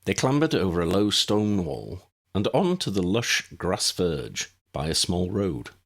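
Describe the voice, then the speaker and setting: A low-pitched male narrator reads fiction aloud. His voice is husky, and his intonation swings widely as he tells the story in an expressive audiobook style. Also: a little slow. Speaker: audiobook narrator reading own fan fiction.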